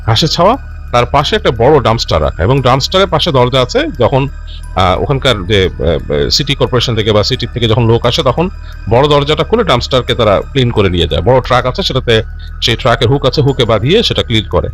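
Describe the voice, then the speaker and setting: Man telling stories with lively, swooping pitch. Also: faint music behind. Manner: halting, self-correcting, repeats and rephrases phrases as he goes; mixes English words into his speech. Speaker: host narrating listener-submitted ghost stories. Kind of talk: unscripted conversational storytelling.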